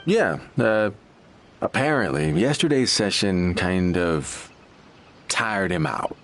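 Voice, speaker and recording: Slow gravelly guy, hesitant, kinda low, faint music behind. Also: wildly swooping pitch, a bit bright, slight background noise, heavily acted. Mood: uneasy. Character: evasive young man avoiding a nightmare. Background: game music.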